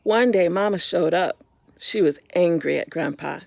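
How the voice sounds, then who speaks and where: A woman reading a children's story aloud in an expressive, simple storytime manner, talking directly to young listeners. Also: on the low side, normal speed, gravelly.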